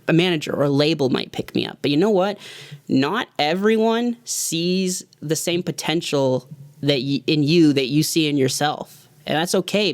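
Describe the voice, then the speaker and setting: Man, thirties, gravelly voice, dry close sound, earnest and rambling, motivational vlog talk. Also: a little high, no echo. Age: 30s. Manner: earnest, heartfelt, loosely rambling with fillers. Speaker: music producer and YouTuber.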